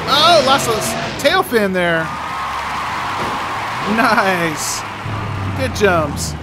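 A commentator giving excited play-by-play of a video game, with exclamatory, drawn-out interjections. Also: medium pitch, loud music behind the voice, low-quality recording.

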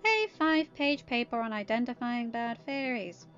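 A woman's voice: a little high, animated, normal speed, a bit bright, lo-fi sound, soft background music. A woman voicing a sarcastic, impatient teenage girl in an amateur storybook reading.

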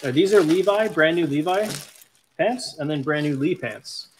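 A man's voice: on the high side, animated, normal speed, slight background noise, low-quality recording. A man in his thirties from South Africa, casually hosting a live unboxing stream from a storage room and reading viewer comments aloud. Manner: upbeat, reads chat aloud. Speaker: channel host. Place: storage room stacked with boxes.

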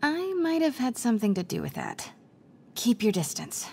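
A woman's voice: a little high, sing-song, moderate pace, mellow, a little hiss or hum. A woman voicing a determined young reporter in a video game, murmuring to herself and often dropping to a whisper as she sneaks. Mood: focused. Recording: background music under the voice.

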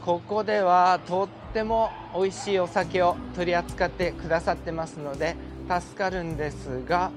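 A middle-aged man with a high voice narrates a city walk, polite and enthusiastic as he points things out. He speaks outdoors into a handheld camera. Background music runs clearly under his voice. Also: steady tone, normal speed, hardly any pauses. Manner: chatty, polite, enthusiastic guide. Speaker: vlogger. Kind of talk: casual walking tour narration. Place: outdoors on city streets and in a park. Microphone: handheld wide-angle camera.

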